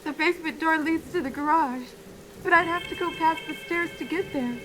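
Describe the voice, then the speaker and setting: High-pitched terrified woman caller acted out, faint music. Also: moderate pace, rough recording. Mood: terror. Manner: frightened, urgent. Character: terrified woman hiding from an intruder at home. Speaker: radio phone-in caller. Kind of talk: radio horror drama.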